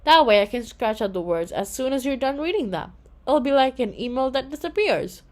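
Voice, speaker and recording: A woman's voice: high voice, animated, a little fast, a little mellow. A woman voicing an anxious, earnest young child in a children's storybook, speaking with urgency. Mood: hesitant. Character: anxious, earnest young child worried about kidnapped grandma. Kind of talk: children's storybook read-aloud.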